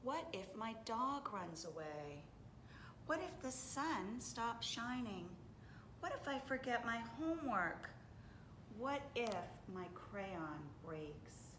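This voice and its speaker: A woman's voice: a little high, sing-song, takes it slowly, roomy sound, noisy background, pitched above the performer's own voice. A woman voicing an anxious young storybook girl who asks worried questions.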